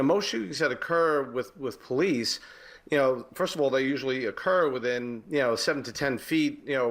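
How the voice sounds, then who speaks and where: A man with an American accent, a former police detective giving halting, explanatory commentary in a live news interview. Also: mid-range voice, a bit bright.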